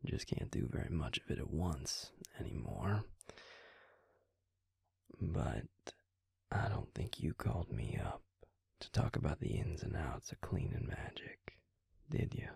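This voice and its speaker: Man voicing a slow, gravelly Southern vampire. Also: rumbling low, sing-song, a little mellow, no echo, clean studio sound, full character voice. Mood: soft concern. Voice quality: breathy, gravelly. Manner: unhurried, soothing, half-whispered. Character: gentle, protective male vampire, teasing Southern charmer. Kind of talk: intimate scripted audio roleplay, addressing the listener.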